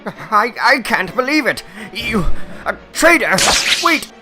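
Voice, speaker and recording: A man's voice: high voice, sing-song, measured pace. A man voicing a frightened, stammering guard who exclaims in a dramatic comic-book reading. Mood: alarmed. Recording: roomy echo, loud music underneath.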